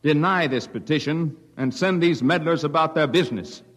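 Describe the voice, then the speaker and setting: A man's voice: a little high, normal speed, loud music behind the voice, close to an everyday voice. Man playing an oratorical lawyer in echoing hall. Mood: indignant, declamatory. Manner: oratorical, formal. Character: formal, assertive courtroom lawyer. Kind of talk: 1950s radio drama acting. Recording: echoey hall, 1950s radio recording.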